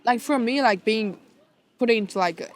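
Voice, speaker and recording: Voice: medium pitch, normal speed. A podcast co-host from Shanghai with a Chinese accent, speaking English as a second language, hesitant and searching for words with many fillers. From Shanghai, China. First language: Chinese (Shanghainese, Mandarin). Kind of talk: casual conversational chat.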